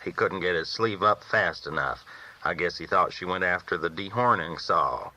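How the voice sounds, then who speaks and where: A man's voice: low-pitched, moderate pace, bright, forceful tone, low-quality recording. A man reading a first-person novel aloud as a folksy storyteller. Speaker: audiobook reader.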